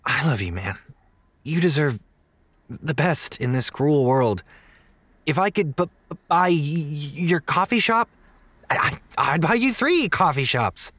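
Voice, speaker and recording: A gushing, broke buddy who stammers through his affection. The voice is raspy and swings up and down, recorded dry for an audio comedy. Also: on the high side, lively intonation, measured pace, pauses often, a bit bright, no echo. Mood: maudlin, affectionate. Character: effusive, broke man pouring out affection to his friend. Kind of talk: comedy audio drama acting.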